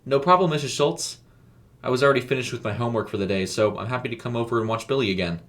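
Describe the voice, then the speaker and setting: A man voicing a higher-pitched friendly teenage girl babysitter. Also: quick pace, natural voice. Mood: polite, agreeable. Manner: gentle, casual, friendly. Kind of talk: audio storybook character voice.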